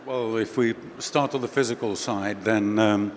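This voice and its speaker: A man's voice: fairly low pitch, measured pace, a little hiss or hum. Man with a light Finnish accent. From Finland. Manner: relaxed, conversational, with fillers. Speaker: panelist, company CEO. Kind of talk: conference panel talk. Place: expo stage.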